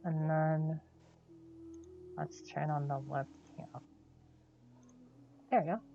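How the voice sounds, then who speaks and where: A woman streaming a game, making brief, low-key casual asides. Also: steady tone, slow pace, creaky, background noise. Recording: dull, band-limited mic over game music.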